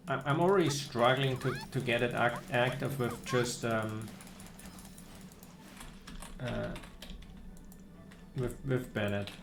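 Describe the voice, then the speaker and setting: A man livestreaming a game, chatting casually about strategy in a hesitant, halting way as he thinks aloud. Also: mid-range voice, fairly even intonation, creaky, noisy background.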